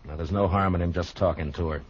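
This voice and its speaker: An actor playing a steady, authoritative frontier marshal, firm and plain-spoken, in 1950s radio style. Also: male voice, low-pitched, fast, slightly rough. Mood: firm, cautioning. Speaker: lead actor in a western radio drama. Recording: muffled old radio recording, music.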